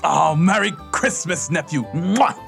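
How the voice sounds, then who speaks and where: An actor playing a giddy, generous old reformed miser in a theatrical, effusive audio drama style. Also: male voice, on the high side, a little slow, gravelly, a bit bright, soft background music, full character voice. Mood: giddy, tender.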